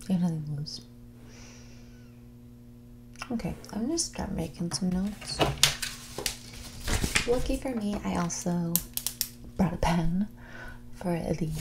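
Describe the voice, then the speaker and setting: A woman playing a mean, condescending rich young woman on a first date in a whispered, soft-spoken ASMR roleplay, with a bored drawl. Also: lowish voice, gravelly, noisy background. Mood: haughty challenge, then mock interest. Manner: condescending, drawling, bored, valley-girl filler "like".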